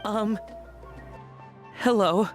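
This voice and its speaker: A man's voice: high-pitched, extremely slow. A man playing a nervous, apologetic hired henchman in a video game, hesitant and pleading. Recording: game music behind the voice.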